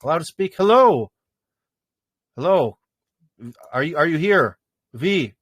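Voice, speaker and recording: A man's voice: on the high side, animated, measured pace, fairly bright tone, no room sound, clean studio sound. A man hosting a casual live stream, rambling with many fillers and chuckles. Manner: rambling, lots of fillers and laughs.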